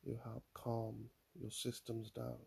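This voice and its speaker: Very gravelly, slow man guiding meditation. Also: medium pitch. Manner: calm, soothing, with long pauses. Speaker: mindfulness guide. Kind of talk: guided meditation, body scan.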